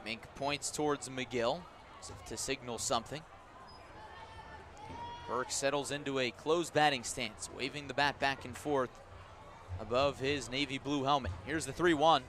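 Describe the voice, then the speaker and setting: A man doing live radio play-by-play of a baseball game, descriptive and unhurried between pitches and punchier on the action. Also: fairly even intonation, noisy background. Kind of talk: live radio sports commentary.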